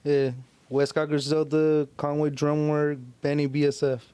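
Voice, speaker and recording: A man's voice: on the high side, flat delivery, measured pace, warm tone, clean tone. A man co-hosting a podcast in casual, slangy chat with plenty of swearing. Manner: slangy, profane. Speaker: podcast co-host.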